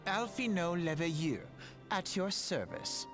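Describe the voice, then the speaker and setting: A man's voice: high-pitched, sing-song, unhurried, full character voice. An actor playing an earnest, self-assured young nobleman in a fantasy game, speaking in formal, archaic phrases. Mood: grave, resolute. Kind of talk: theatrical fantasy game voice acting. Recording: music behind the voice.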